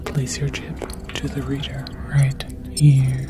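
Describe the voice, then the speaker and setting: Whispering, very low alien psychic played by a woman. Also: monotone, background noise. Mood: soothing, courteous. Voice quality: whispery, breathy. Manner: slow, soothing, formal. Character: calm, courteous alien psychic hotline healer. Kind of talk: ASMR roleplay.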